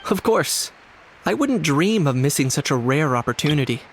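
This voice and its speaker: Cool deadpan young fighter. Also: male voice, on the high side, normal speed, a little hiss or hum, poor audio. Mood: cool, resolute. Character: cool, self-reliant young male tournament fighter. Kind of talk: video game voice acting. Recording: faint music behind the voice.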